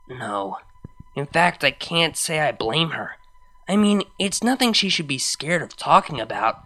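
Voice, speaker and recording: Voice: medium pitch, gravelly, sharp tone, exaggerated voice. A reader voicing an anxious, lovestruck young female pony DJ in a hesitant, introspective first-person fan fiction monologue. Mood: uncertain.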